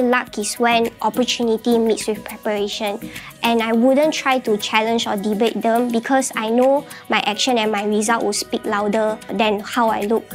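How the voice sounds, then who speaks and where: Malaysian woman in her 20s, high-pitched, earnest and measured, faint music underneath. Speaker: entrepreneur. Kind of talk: personal story narration.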